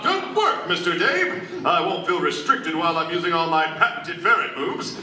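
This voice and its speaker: A man's voice: high-pitched, bright, forceful tone, exaggerated voice. A man playing an earnest, self-important superhero in a comic radio play, overdramatic and boyishly eager. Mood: gleeful. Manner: overdramatic, hammy declaiming. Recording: echoey hall, far mic, faint noise.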